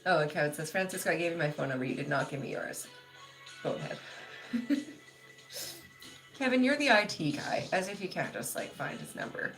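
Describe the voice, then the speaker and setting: A woman in her thirties hosting a casual livestream, reading chat comments aloud, laughing often and trailing off into soft asides. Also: on the low side, expressive, a little fast, long pauses, faint music behind, rough recording.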